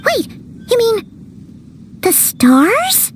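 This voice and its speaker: A tiny, petulant fairy sidekick from a fantasy video game. The voice is extremely high, squeaky and childlike, swooping animatedly up and down. It is bubbly and indignant, drawn out at a leisurely pace. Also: female voice, huge pitch swings, drawn-out words, faint music behind, exaggerated voice. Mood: puzzled. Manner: refers to herself in third person, bubbly, whiny, indignant. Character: tiny chatty childlike floating fairy companion, excitable and petulant. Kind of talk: video game voice acting, cartoonish.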